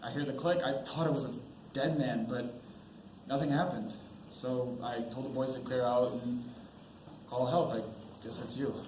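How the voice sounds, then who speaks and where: A teenage boy acting an anxious, halting young soldier in an amateur stage play. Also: mid-range voice, steady tone, a little mellow, close to an everyday voice. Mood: tense, subdued. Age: teens. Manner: halting, stammering, nervous. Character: anxious young army sergeant trapped on a mine. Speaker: high school student actor. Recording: roomy, noisy school stage recording.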